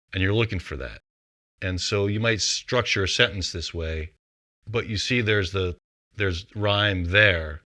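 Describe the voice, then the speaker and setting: A man with a fairly low voice talks casually as a songwriter about his craft. He is reflective, working out his thoughts aloud as he explains. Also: pushed and bright. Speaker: composer and songwriter.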